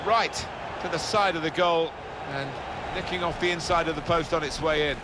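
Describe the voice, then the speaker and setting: A man acting as a male TV football commentator in a video game, with a fairly high voice. He gives play-by-play over very loud stadium noise. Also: normal speed, lightly acted. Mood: measured, approving. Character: excited veteran male television football match commentator. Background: stadium ambience in a video game.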